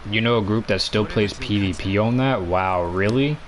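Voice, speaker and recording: A nineteen-year-old man with a deep voice, chatting casually while streaming a game, full of fillers and restarts. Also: normal speed. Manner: rambling, many fillers and restarts. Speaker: gaming streamer.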